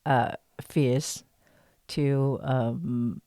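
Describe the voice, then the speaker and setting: A woman with a low voice speaks as an interview guest, recorded close and dry. She talks casually and haltingly, searching for words and often breaking into a giggle. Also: steady tone, mellow, no echo. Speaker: guest, voice actress in an audio drama series. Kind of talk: casual podcast interview chat.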